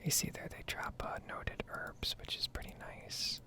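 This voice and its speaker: A woman speaking in a soft, breathy whisper, rambling casually in an ASMR style as she comments on her own gameplay. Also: measured pace. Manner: casual, meandering. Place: basement at home.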